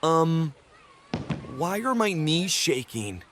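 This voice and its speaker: Storybook cartoon bean, female reader: nervous but eager, hesitant, very animated, slow delivery. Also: sits low, background noise, voice pushed down, full character voice. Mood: panicked, nervous. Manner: theatrical, hesitant, exaggerated. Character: anxious, eager young cartoon bean working up courage. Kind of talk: animated children's read-aloud.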